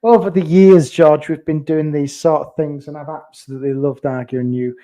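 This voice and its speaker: A man's voice: fairly high pitch, steady tone, normal speed, warm tone. Jokey man hosting football chat. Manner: jokey, teasing banter. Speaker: podcast host.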